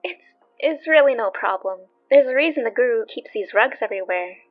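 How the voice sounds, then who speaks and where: An actress playing a polite, chatty young shop assistant with a wry streak in an audio drama. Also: female voice, a little high, animated, brisk, close to an everyday voice. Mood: helpful, friendly. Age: young adult. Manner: courteous, helpful, wry. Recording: muffled sound with music behind.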